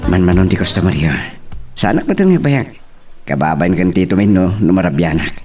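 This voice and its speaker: A man's voice: deep voice, animated, brisk, over music. A man with an Ilocano accent playing a polite boyfriend in an audio romance drama. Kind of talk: Filipino radio-style romance drama acting.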